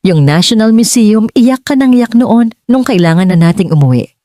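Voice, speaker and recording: An earnest middle-aged Filipino father as a woman narrator plays him in an audiobook romance. He speaks haltingly and softly dark in tone, with expressive rises and falls, in a dry close sound. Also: fairly high pitch, dry recording, pitched above the performer's own voice, natural voice. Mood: tentative, nostalgic. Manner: halting, searching for words. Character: earnest middle-aged businessman father reconnecting with his son. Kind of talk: audiobook dialogue.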